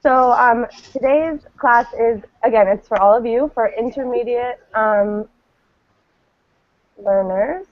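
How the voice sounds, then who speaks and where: A woman from the United States teaching an online English class, speaking slowly with many ums, over a video call. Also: fairly high pitch, no echo. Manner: friendly, many ums, slow clear talk. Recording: dull lo-fi video call audio.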